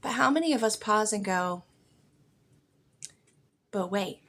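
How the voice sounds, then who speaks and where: A woman's voice: medium pitch, lively intonation, slow pace, a bit bright. An American woman giving an informal, reflective spiritual monologue as a podcast host, speaking earnestly and leaning on key words.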